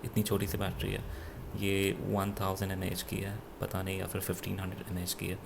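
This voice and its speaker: A man with an Indian accent giving an unscripted gadget review in a halting, self-correcting way, switching between two languages. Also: low voice, monotone, creaky, background noise. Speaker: product reviewer. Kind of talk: unscripted gadget review, mixing Hindi with English.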